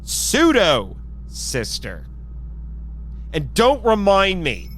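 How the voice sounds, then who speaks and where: Man acting an exasperated, high-pitched, swooping team leader. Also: extremely slow, very noisy, exaggerated voice. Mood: weary, contrite. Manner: expressive, sighing, hesitant. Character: exasperated, scolding but contrite male team leader. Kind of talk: fan audio drama acting. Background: background music.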